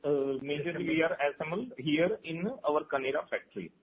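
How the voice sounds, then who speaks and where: Male executive, Indian accent, fairly high pitch, halting delivery on an earnings call, lo-fi sound. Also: background noise. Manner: halting, hesitant, piecing answers together. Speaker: company executive. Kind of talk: answering questions on an earnings call. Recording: dull lo-fi conference call audio.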